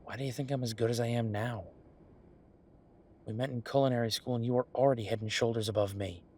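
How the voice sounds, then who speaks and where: A man playing an affectionate, teasing chef boyfriend in an intimate roleplay audio, talking in a conversational, reassuring way with a husky voice. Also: medium pitch, fairly even intonation, fast talker, a little mellow, natural voice. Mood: fond, playful. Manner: conversational, reassuring, playfully bantering. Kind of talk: intimate one-sided boyfriend roleplay acting.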